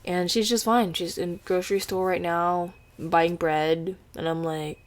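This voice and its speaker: This is a young woman in her twenties with an Indonesian accent, speaking English as her second language. She rambles and giggles through a casual podcast chat, stops and restarts often, and sounds teary after crying. Her voice sits a little low. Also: steady tone, unhurried. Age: 20s. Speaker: podcast host.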